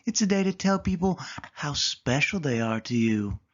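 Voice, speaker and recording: A man's voice: a little high, animated, normal speed, dull audio, voice pushed up, close to an everyday voice. Callie, a man doing a chirpy girl cat. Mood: cheerful. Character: cheerful young girl cat, friendly.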